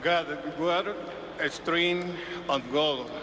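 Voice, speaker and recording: Slow priest praying, echoing PA. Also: male voice, on the high side. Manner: measured, pausing between phrases. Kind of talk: public invocation, solemn prayer. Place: large racetrack over loudspeakers. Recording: echoing stadium PA with background noise.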